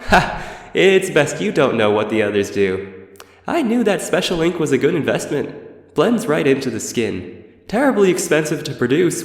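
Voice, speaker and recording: A man voicing a cunning old undead elf man, who speaks politely and formally with a cool, threatening calm. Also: a little high, pitched above the performer's own voice. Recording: reverberant roomy echo.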